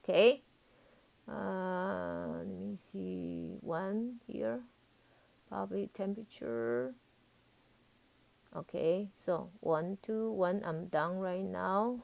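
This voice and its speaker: A woman's voice: mid-range voice, extremely slow, vocal fry, pauses often, a little mellow. A woman teaching a live programming lesson as a professor, repeating herself and often checking that students understand. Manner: repetitive, frequently checks for understanding.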